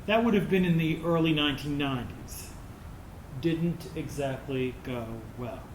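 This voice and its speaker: A man acting as a transgender adult recalls childhood in an understated stage monologue. The voice is slightly high and very unhurried, in an echoey room with faint noise. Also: close to an everyday voice. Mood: sober, pained. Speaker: actor in a staged reading. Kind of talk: sober monologue read from a script to an audience.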